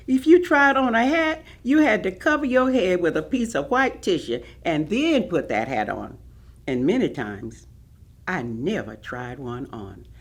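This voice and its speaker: An actress playing an indignant woman recalling past injustices in a staged reading. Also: mid-range voice. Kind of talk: staged monologue of oral history recollections.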